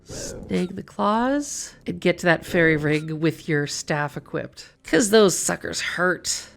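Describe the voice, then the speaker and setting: Woman with a low, creaky voice. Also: animated, takes it slowly. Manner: colloquial, playful. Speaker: game guide narrator. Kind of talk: casual video game walkthrough commentary.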